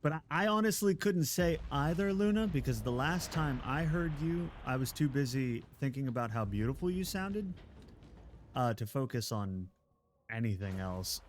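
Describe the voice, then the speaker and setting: A man's voice: medium pitch, animated, normal speed, slight background noise. A man from Texas chatting casually on a live game stream, rambling and self-deprecating with frequent chuckles. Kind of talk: casual chat while gaming.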